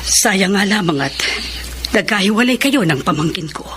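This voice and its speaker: A woman's voice: medium pitch, animated, normal speed, fairly bright tone, slight background noise, close to an everyday voice. A Filipino woman playing a concerned older aunt who keeps the peace in a radio drama. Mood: concerned, gentle.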